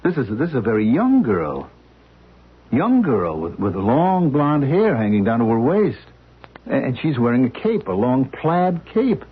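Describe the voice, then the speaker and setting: A skeptical, incredulous man in an old radio drama, his husky voice leaping up and down in pitch as he questions everything. The sound is narrow and phone-like, as from an old broadcast. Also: sing-song, unhurried. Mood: amazed. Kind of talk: 1970s radio drama acting.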